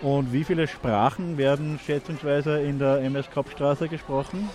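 A man's voice: fairly high pitch, steady tone, normal speed, a little mellow. A man hosting a live community radio interview outdoors, upbeat and encouraging. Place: outdoors at a street festival.

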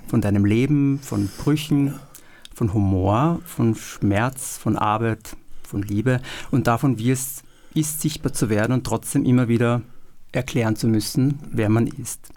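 A man hosting a radio interview show, reading a prepared introduction in a calm, measured way. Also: mid-range voice. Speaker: radio host. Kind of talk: scripted radio moderation. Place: radio studio.

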